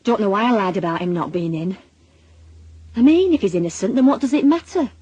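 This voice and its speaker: A woman's voice: medium pitch, lively intonation, brisk, dark tone, husky, dull audio. An actress playing a worried, middle-aged Northern English mother with a dry, sardonic manner in a naturalistic radio drama. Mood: agitated, guilty. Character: worried, wry Northern mother of a suspected lad.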